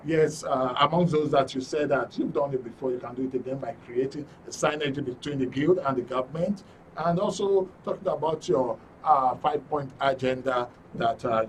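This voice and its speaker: A Nigerian man hosting a live studio interview, speaking in a wordy, halting way with formal courtesy. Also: medium pitch. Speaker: talk show host. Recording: distant mic with faint noise.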